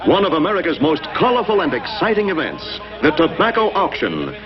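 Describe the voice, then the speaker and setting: Animated high-pitched 1950s commercial announcer, man. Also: a little fast. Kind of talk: 1950s scripted advertising narration. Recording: muffled vintage broadcast, music behind.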